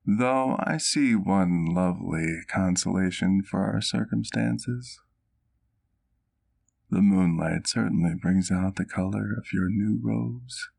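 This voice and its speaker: A man playing a doting, courteous vampire doctor in an intimate ASMR roleplay, with formal, affectionate diction and gentle teasing. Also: deep voice, slow pace, gravelly, exaggerated voice. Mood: proud, tender.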